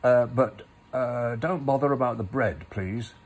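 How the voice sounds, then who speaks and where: A man's voice: mid-range voice, measured pace, close to an everyday voice. A man voicing a bumbling, good-natured, greedy storybook bear who stammers and hesitates. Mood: eager, hesitant. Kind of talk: storybook character reading.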